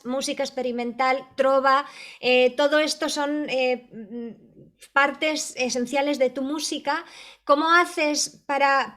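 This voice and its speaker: High-voiced woman interviewer. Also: a bit bright. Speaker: interviewer, show host. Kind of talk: conversational interview questioning.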